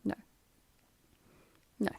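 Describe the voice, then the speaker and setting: A woman's voice: extremely slow, dark tone, no echo. A woman live streamer chatting casually with her viewers in a soothing voice, playfully answering comments and firmly saying no. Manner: chatty and playful, breaking into firm repeated no's.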